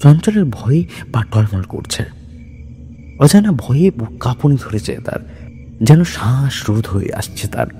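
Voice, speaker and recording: Slow, dramatic man telling horror stories. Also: medium pitch, big pitch swings, dark tone, faint music behind. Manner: suspenseful, deliberate phrasing with dramatic pauses. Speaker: horror story narrator. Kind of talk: dramatic scripted horror storytelling in Bengali.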